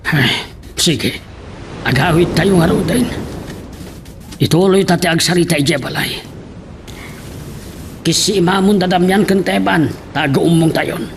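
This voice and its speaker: Gravelly, weary Ilocano father, acted by a man. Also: sits high, measured pace, long pauses, noisy background. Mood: resigned. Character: weary, cautious old father of a peasant family. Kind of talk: Ilocano radio drama acting.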